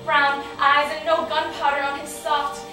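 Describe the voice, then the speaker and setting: A woman performing spoken word as a wounded, reflective young woman, with deliberate, declamatory, literary phrasing. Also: sits high, flat delivery. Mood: anguished. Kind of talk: spoken-word dramatic monologue. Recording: very echoey, distant mic, music behind.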